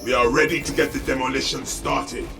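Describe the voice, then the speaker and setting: An actor playing a gruff male veteran warrior who talks bluntly and mockingly and is eager for a fight. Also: mid-range voice, steady tone, fairly quick, close to an everyday voice. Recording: distant game voice over loud music.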